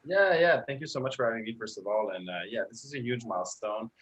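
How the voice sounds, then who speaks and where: A man's voice: medium pitch, expressive, quick pace, fairly bright tone, a little hiss or hum. A man in his thirties, French Canadian, with English as a second language, telling his business story in a live video call with earnest, filler-laden speech. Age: 30s. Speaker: guest coach. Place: indoors at home, bright room. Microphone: laptop webcam video call.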